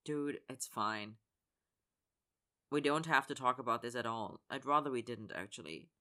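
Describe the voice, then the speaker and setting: A man's voice: medium pitch, moderate pace, sharp tone. A man voicing a guarded, dry-witted young male hockey player who talks curtly. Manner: dry, curt.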